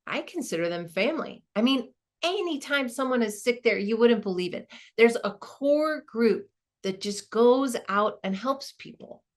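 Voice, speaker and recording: An actress playing a modest woman law school dean, giving a reflective, conversational monologue with small hesitations. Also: mid-range voice. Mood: appreciative, reflective. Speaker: performer of a dramatized narrative.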